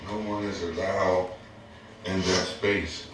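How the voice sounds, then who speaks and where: A parent, a man speaking in a solemn, measured way. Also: low-pitched, level tone. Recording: echoey, distant, faint noise.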